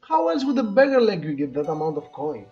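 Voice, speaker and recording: A curt, dismissive female shop owner from a visual novel acted out by a man. The pitch swings up and down as she scolds. The audio is a bit dull, with faint music behind. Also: sing-song, fairly quick, vocal fry.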